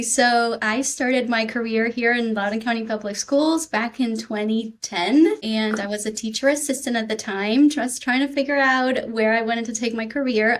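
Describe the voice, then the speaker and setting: A woman from Peru, a Spanish speaker with a slight Peruvian accent, speaking earnestly as a guest on a podcast interview with frequent ums. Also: a little high. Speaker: school district supervisor, guest.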